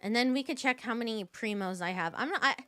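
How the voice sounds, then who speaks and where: A woman's voice: fairly high pitch, fast talker, bright, forceful tone, clear, resonant tone, no echo, faint music behind. A woman giving casual, chatty live commentary as she plays a video game, thinking aloud to her viewers.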